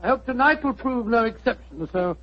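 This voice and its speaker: A man's voice: extremely high pitch, animated, brisk. An actor playing a kindly older male doctor, genial and a little fussy, in 1940s radio acting. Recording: dull old radio recording.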